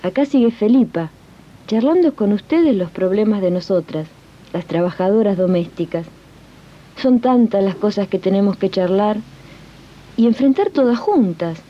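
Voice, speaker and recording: A woman's voice: mid-range voice, lively intonation, moderate pace, natural voice. A woman playing a plain-spoken Argentine domestic worker and union comrade who narrates a 1980s radio drama conversationally. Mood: warm, storytelling. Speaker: narrator and host of radio serial. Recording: dull 1980s archive radio tape.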